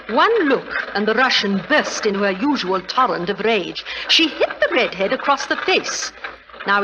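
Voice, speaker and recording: A woman plays a brisk, outspoken noblewoman in a vintage radio play. Her voice sits fairly high and she tells things with wry, gossipy relish. The old recording is dull, with clear noise behind her. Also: natural voice. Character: brisk, outspoken aristocratic English widow. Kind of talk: 1940s radio drama acting. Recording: noisy, muffled 1940s radio recording.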